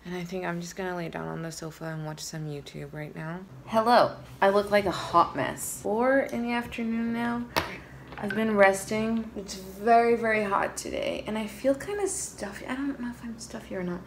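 A groggy young woman talking to her phone in a small room at home, creaky voice, rambling through her day. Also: mid-range voice, moderate pace, a little hiss or hum. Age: 20s. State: tired, groggy. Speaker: vlogger. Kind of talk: casual chat to camera. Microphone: phone or camera at arm's length.

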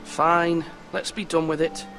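An actor playing an earnest young lord in a fantasy video game, guarded and resolute. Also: male voice, a little high, little pitch movement, unhurried, bright, forceful tone, soft background music. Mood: reluctant. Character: young lord, boyish, earnest, defiant under pressure. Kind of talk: dramatic video game acting.

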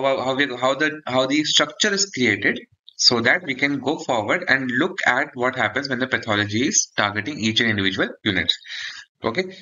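A man's voice: medium pitch, sharp tone, dull audio. A man gives an explanatory medical lecture for exam students, often checking in with a short "okay". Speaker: dermatology lecturer.

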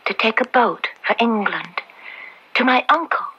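An actress in an old radio drama playing a frightened young maid condemned as a witch, halting, tremulous and pleading, often dropping to a breathy whisper. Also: fairly high pitch, expressive, sharp tone, exaggerated voice. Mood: sad, halting. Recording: dull lo-fi old radio recording.

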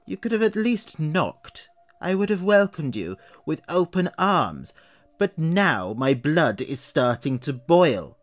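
A man's voice: on the high side, sing-song, pitched above the performer's own voice. Man voicing a wary, aggrieved old witch. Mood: indignant. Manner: slow, chatty complaining monologue rising to anger. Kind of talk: audio horror story reading.

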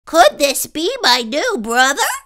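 Woman voicing an eager, high-pitched young poodle. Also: sing-song, fairly bright tone, heavily acted. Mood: hopeful wonder. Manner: wondering, slow and drawn out. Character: eager, hopeful young male poodle longing for a brother.

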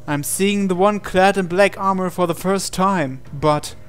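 A man reading a visual novel aloud as a dramatic narration, with deliberate pauses. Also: sits high, clean tone. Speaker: gaming channel host. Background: game music.

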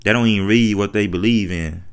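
An American man speaks in a fairly low voice, delivering an unscripted commentary. His phrasing is colloquial and repetitive, and he pushes his opinions with emphatic rhetorical questions. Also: brisk, smooth. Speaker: online commentator.

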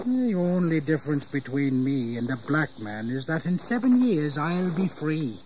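A man's voice: fairly high pitch, big pitch swings, normal speed, darkish tone, a little hiss or hum, low-quality recording. An actor playing a bitter English working-class servant and father, rustic and deferential yet grumbling, in an audio history drama. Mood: bitter, weary. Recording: 1980s audio drama, insect ambience.

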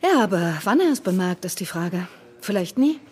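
An actress playing a friendly, chatty, flustered kindergarten teacher in a children's audio play. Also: female voice, medium pitch, very animated, moderate pace, darkish tone, husky. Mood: earnest.

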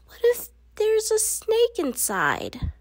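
A woman's voice: extremely high pitch, huge pitch swings, slow, dry recording, voice pushed up, exaggerated voice. A woman voicing a small, fretful mouse girl who worries and questions everything in a children's storybook. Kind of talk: children's storybook read-aloud.

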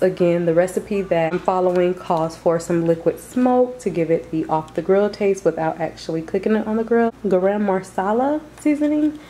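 A woman's voice: on the low side, dark tone, a little hiss or hum, poor audio. A woman vlogger talking casually and informally to her viewers, in a chatty way. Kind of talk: casual grocery haul vlog voice-over.

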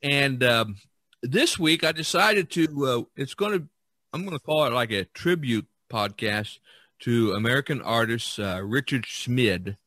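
A man hosting a podcast in casual talk, with a halting, hesitant delivery. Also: mid-range voice, expressive, sharp tone, no room sound. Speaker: podcast host.

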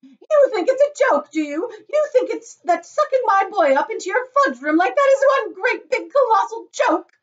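A woman's voice: very high-pitched, big pitch swings, very fast, hardly any pauses, sharp tone, far from the mic, lo-fi sound, voice pushed up, exaggerated voice. A woman voicing a hysterical, indignant middle-aged mother in a storybook reading, screeching and demanding. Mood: outraged.

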